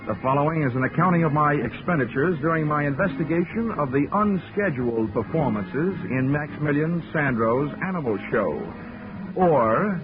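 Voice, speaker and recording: Wisecracking detective-narrator, vintage lo-fi radio with score. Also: male voice, on the high side. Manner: dry, sardonic, wisecracking. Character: wisecracking insurance investigator, adult man. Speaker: narrator and lead. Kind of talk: 1940s radio acting. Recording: lo-fi vintage radio recording with music.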